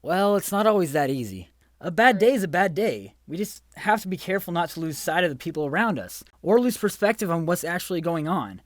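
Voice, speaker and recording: An actor playing a reasonable young student coworker who explains things earnestly and patiently in a comedic audio drama. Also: male voice, high voice, very animated, brisk, dry recording, studio quality.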